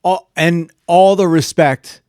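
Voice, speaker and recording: A man co-hosting a podcast in relaxed, casual chat, often calling his friend "man" and "bro". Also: high voice, takes it slowly, smooth, no echo, studio quality. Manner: laid-back, slangy, says "man" and "bro". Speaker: podcast co-host.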